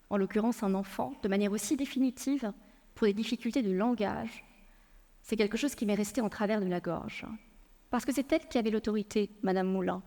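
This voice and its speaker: A woman lawyer giving a prepared public talk, telling a personal story in a measured way with frequent hesitations. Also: on the high side, darkish tone, long reverb tail. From France. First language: Persian. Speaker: lawyer and speaker.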